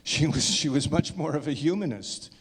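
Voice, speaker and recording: A man speaking informally in an audience Q&A, halting and often repeating and rephrasing himself. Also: a little high, animated, slight background noise. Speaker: filmmaker answering questions at a screening. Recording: echoey hall, long reverb.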